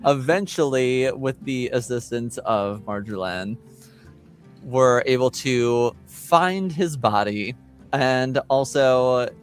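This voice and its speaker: A man runs a tabletop game as its game master, recapping the story casually. His pitch is ordinary and his pace is slow, with many halting pauses. Faint music plays underneath him. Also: animated. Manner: halting, pausing often mid-sentence. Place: remote online stream call. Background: music playing underneath.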